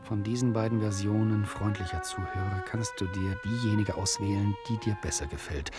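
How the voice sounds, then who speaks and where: An actor playing a teasing, argumentative storyteller who addresses his listener, with a husky voice, in a radio play. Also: on the low side, normal speed, loud music behind the voice, lightly acted. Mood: wry, narrating. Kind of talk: scripted radio play narration.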